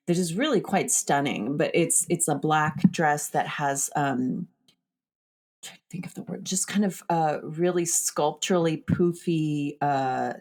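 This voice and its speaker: Low-voiced woman chatting about film. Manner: informal, candid, swears casually. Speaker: podcast host. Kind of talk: casual film discussion chat.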